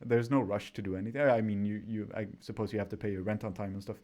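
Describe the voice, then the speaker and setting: A man from Sweden hosting a casual interview over a remote call, talking in a relaxed, rambling way with frequent restarts. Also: lowish voice, quick pace. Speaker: podcast host. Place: home.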